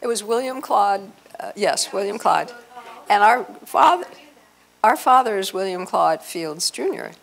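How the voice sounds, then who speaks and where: Female event presenter, informal, mid-pitched with noticeable creak, handheld microphone. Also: lively intonation, measured pace. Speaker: convention presenter. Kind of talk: informal introduction to an audience. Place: hotel conference room.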